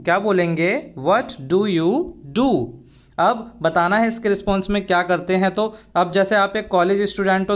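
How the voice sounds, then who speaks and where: High-voiced man teaching slowly. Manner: explains in Hindi, repeats English phrases very slowly. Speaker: English teacher. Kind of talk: spoken English lesson.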